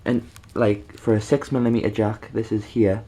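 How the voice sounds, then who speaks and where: A man presenting an informal how-to demonstration, halting and rambling, often checking in with the listener. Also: fairly low pitch, flat delivery, dark tone. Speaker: video tutorial presenter.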